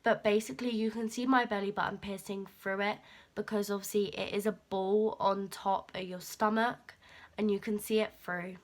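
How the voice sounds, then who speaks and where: A teenage girl, chatting casually to camera in a vlog and rambling with fillers, her voice a bit croaky from a cold. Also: mid-range voice, fairly even intonation, moderate pace. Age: teens. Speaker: vlogger.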